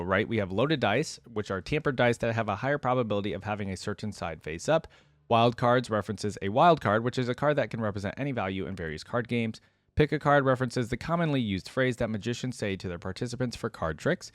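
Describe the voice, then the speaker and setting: A man with a mid-pitched voice hosts a reaction video, talking casually and thinking out loud. He keeps it informal as he comments on what is in front of him. The sound is dry and close to the mic. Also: dry recording.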